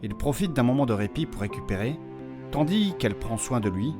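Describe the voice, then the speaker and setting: A man's voice: medium pitch, very animated, a little fast. A man reading a scripted narration, recapping a fantasy audio drama. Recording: loud music bed. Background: music.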